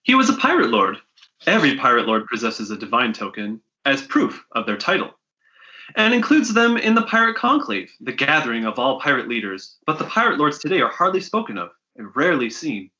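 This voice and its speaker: A man's voice: medium pitch, very animated, brisk, sharp tone. A man voicing a scheming informant and crooked dealer in a comic readalong, declamatory and menacing, in slightly dull audio. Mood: grandly mysterious.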